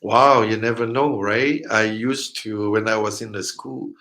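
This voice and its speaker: A man hosting a casual interview, upbeat and halting, with frequent fillers. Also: on the low side, steady tone, a bit bright. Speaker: interview host.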